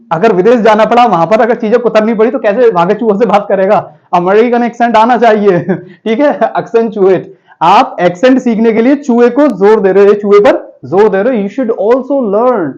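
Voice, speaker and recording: A man's voice: sits high, darkish tone, dull audio. A man teaching vocabulary to students in a chatty, joking classroom style, often calling them children and friends.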